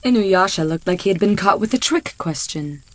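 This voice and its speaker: A woman's voice: medium pitch, animated, moderate pace, no echo. A woman narrator reading a scripted story as part of an audio drama.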